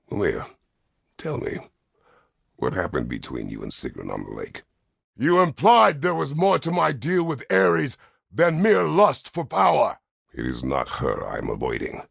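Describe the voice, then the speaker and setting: An actor playing a stern, weary, middle-aged Greek war god in a video game, speaking tersely and formally with a gravelly, husky voice. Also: male voice, a bass voice, dry recording, clean studio sound, heavily acted. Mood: firm, demanding. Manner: terse, grave, formal phrasing without contractions. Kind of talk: dramatic video game voice acting.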